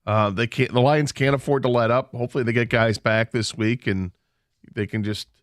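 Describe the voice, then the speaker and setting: A man co-hosting sports radio, talking in a measured, analytical way with fillers. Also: medium pitch, steady tone, no room sound, clean studio sound. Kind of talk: casual sports talk. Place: radio studio.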